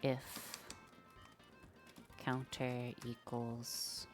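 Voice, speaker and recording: A woman with a strikingly deep voice for her sex, talking her way through code on a live stream. She muses aloud, drops slang and fillers, and chats loosely with her audience. Faint music plays behind her. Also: level tone, extremely slow. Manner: thinks aloud while coding, slangy and chatty. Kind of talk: live-stream coding chat.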